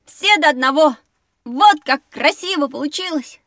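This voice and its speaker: A woman's voice: sits high, expressive, moderate pace, fairly bright tone, dry recording, studio quality. A woman voicing an eager, curious young schoolboy in a children's educational audio drama. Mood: excited. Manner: inquisitive, enthusiastic.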